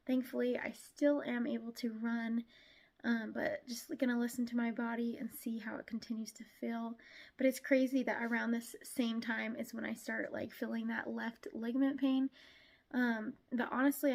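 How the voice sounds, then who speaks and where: A woman's voice: a little high, vocal fry, darkish tone. A young adult woman giving a casual vlog update, chatty with frequent laughs and a congested, stuffy voice from a cold. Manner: chatty, laughs often, many "like"s. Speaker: vlogger.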